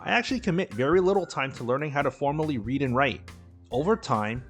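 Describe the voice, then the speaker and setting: Man narrating advice with animated pitch. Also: fast, faint music behind. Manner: steady, instructive, advice-giving delivery. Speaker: dating and language vlogger. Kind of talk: scripted voice-over narration.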